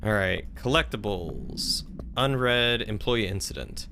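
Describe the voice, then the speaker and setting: Bright-voiced man streaming a game. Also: lowish voice, big pitch swings, unhurried, gravelly, a little hiss or hum. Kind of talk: casual live game commentary, chatting with viewers.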